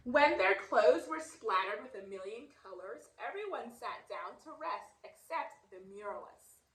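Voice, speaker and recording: Slow, expressive woman reading to children. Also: a little high, reverberant, rough recording. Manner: expressive, deliberate, engaging listeners with questions. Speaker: read-aloud storyteller, educator. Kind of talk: children's picture book read-aloud.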